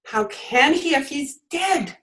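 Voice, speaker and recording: Radio drama senora female actor: skeptical, exasperated, high voice, dramatic animated delivery. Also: slow, full character voice. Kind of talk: old-time radio drama acting. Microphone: video call.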